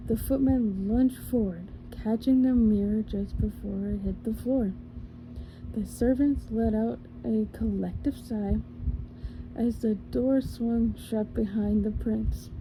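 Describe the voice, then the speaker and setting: A woman reading a storybook in a gentle ASMR style, with slow, deliberate phrasing. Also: fairly high pitch, low-quality recording. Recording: faint background noise.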